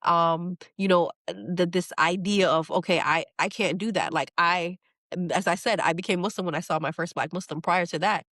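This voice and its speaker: A woman's voice: lowish voice, moderate pace, a bit bright, no room sound, clean studio sound. A middle-aged woman, a poet speaking in an interview, thoughtful and earnest, often restarting and repeating words as she thinks. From New York. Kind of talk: reflective interview conversation.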